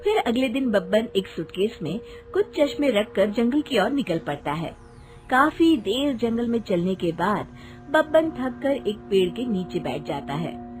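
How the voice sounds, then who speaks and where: High-voiced woman narrating a story. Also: very animated, measured pace, over music. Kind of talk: scripted children's story narration.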